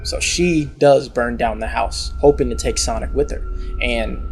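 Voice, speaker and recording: A man's voice: mid-range voice, wildly swooping pitch, measured pace. A man giving a casual spoken recap of a horror video series, dropping sarcastic remarks along the way. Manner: wry, sarcastic asides. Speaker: video commentator. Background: background music.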